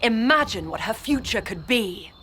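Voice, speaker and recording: An actress playing a proud, idealistic mage lieutenant in a video game cutscene, speaking formally and grandly. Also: female voice, a little high, animated, normal speed, pushed and bright, slight background noise. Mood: fervent.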